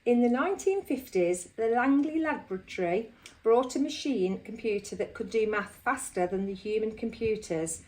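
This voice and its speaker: A woman's voice: fairly high pitch, animated, moderate pace, a little mellow. A woman reading a nonfiction storybook aloud as a school staff member. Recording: distant microphone.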